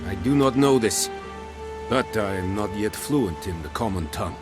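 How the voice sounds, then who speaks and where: An actor playing a stern male army commander in a video game, speaking in a formal, measured, commanding way. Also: lowish voice, lively intonation, lightly acted. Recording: game music behind.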